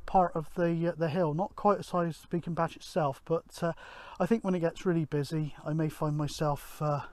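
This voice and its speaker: A middle-aged man gives casual outdoor vlog commentary in a rambling, halting way, thinking aloud. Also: on the high side, steady tone. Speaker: amateur radio vlogger, presenter. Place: outdoors on an open grassy hillside.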